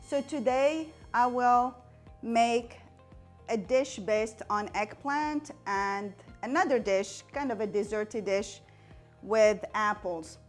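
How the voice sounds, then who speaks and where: A woman's voice: fairly high pitch, slow pace, soft background music. Woman from Morocco teaching a cooking show. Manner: friendly step-by-step explaining while cooking. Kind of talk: cooking demonstration. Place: home kitchen, indoors. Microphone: lapel mic.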